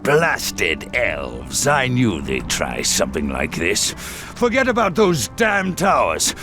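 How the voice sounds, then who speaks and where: A hateful, gruff army commander in a fantasy game. His delivery is domineering and slow, with extremely animated swings of pitch over faint music. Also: full character voice. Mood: seething. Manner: gruff, domineering, barking orders. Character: blustering, hateful human military commander. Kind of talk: dramatic fantasy game acting.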